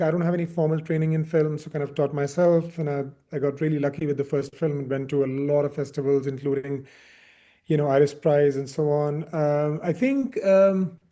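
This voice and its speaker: A man's voice: a little high, warm tone. A man from India speaking as an interviewed film director, reflective, often repeating words as he searches for phrasing.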